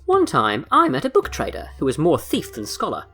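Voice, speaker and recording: A man's voice: on the high side, huge pitch swings, brisk, bright, forceful tone, soft background music, full character voice. A man playing a smirking, playful trickster rogue in a fantasy audio drama, with a sly showman's way of explaining. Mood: boastful. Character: smirking male trickster rogue, playful card-game host. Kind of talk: acted audio fiction dialogue.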